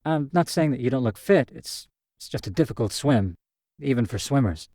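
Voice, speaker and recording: A man voicing a friendly, lively young woman who talks in a chatty, slightly hurried way. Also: medium pitch, no echo, clean studio sound, pitched above the performer's own voice. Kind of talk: audio drama short story reading.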